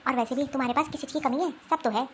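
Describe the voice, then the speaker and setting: Spiteful cartoon sister-in-law, played by a woman: very high voice, accusatory, needling, complaining. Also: very fast, a little hiss or hum, natural voice. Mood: bitter complaining. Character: spiteful, meddling adult sister-in-law, scornful troublemaker. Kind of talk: Hindi cartoon family drama acting.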